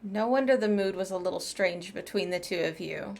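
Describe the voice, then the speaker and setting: A woman's voice: medium pitch, brisk, creaky, a little mellow, slight background noise. A woman game streamer giving chatty, informal live commentary on a story playthrough.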